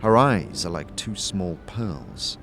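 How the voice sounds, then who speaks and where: A man narrating a scripted fantasy audio drama in a measured, descriptive, theatrical manner. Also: deep voice, expressive, fairly bright tone, faint music behind. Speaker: narrator and game master.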